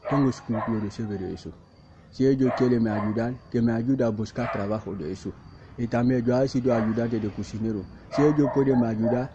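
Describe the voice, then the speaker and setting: A man speaking halting, repetitive second-language Spanish, insisting and defending himself in a self-recorded plea, outdoors in a field. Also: on the low side. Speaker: migrant speaking to camera. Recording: muffled with clear background noise and barking dog.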